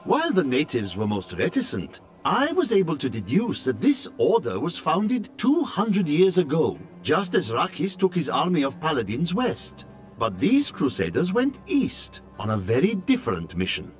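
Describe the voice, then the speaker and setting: An actor playing a learned scholar-chronicler, reading his journal as grave, intrigued, deliberate dramatic narration for a fantasy video game. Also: on the high side, huge pitch swings, soft background music. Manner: theatrical, deliberate, storytelling delivery.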